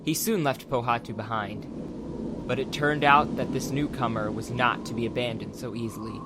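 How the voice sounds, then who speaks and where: A man's voice: mid-range voice, normal speed, very noisy. A man reading scripted audiobook narration as the narrator of a dramatized story. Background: background music.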